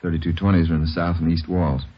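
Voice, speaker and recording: A man playing a methodical, laconic police lab chemist in an old radio drama, with a husky voice. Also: low voice, level tone, fast. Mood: methodical, matter-of-fact. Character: male police crime lab chemist, methodical and matter-of-fact. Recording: dull old radio recording.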